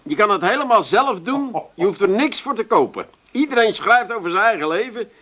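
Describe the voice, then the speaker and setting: An actor playing a naive, self-important dreamer in a comic radio play, eager and stubbornly defiant. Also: male voice, high voice, animated, a little fast, pushed and bright, heavily acted. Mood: eager, proud. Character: naive, stubbornly self-important male dreamer, put-upon brother-in-law.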